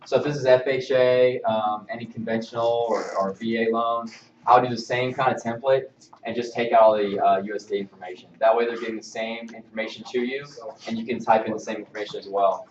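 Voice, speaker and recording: A man giving an informal, halting work presentation on templates as a mortgage trainer, recorded from a distance indoors. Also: medium pitch, steady tone, a little hiss or hum. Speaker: mortgage loan officer giving staff training. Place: indoor training room with projection screen. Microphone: far camera microphone. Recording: distant, roomy, slightly dull mic.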